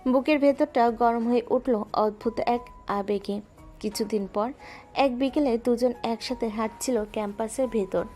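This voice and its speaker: A woman narrating a romantic audio drama in a calm, steady storytelling voice. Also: on the high side, a little slow, faint music behind.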